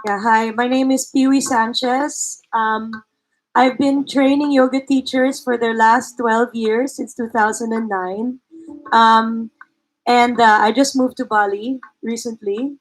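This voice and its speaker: A woman who trains yoga teachers, introducing herself plainly with pauses and fillers. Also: a little high, fairly even intonation, poor audio. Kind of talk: self-introduction.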